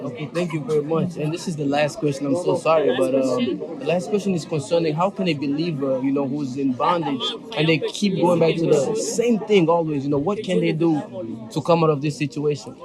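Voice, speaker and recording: Higher-pitched young man interviewing, people in background. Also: moderate pace. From United States. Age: young adult. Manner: deferential, polite. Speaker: interviewer, church ministry host. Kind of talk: informal church interview. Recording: voices of a crowd in the background.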